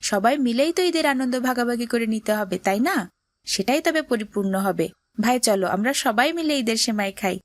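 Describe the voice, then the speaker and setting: A woman plays a kind neighbour boy in a moral cartoon. The voice is somewhat high, earnest and a little preachy. The recording is dry, with no room around it. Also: animated, fairly quick, no echo, lightly acted. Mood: kindly, cheerful. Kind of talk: dramatic cartoon voice acting.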